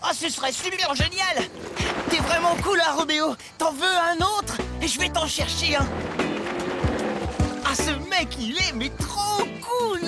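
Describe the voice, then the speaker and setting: A man voicing a naive, excitable young dog-sitter in a children's cartoon, talking in casual gushing slang. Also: very high-pitched, a little slow. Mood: starstruck, excited. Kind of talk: animated children's cartoon dubbing. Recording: loud music behind the voice.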